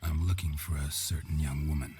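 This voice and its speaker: An actor playing a gruff, world-weary monster hunter in a video game, terse and deadpan with a gravelly voice. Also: male voice, a bass voice, fairly quick, faint music behind. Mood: wry, dry. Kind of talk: video game drama acting.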